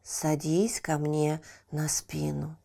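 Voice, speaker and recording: A woman voicing a large, calm and grateful tigress in a fairy tale, solemn and gentle. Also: low-pitched, takes it slowly, creaky, pitched below the performer's own voice, full character voice. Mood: solemn, kindly.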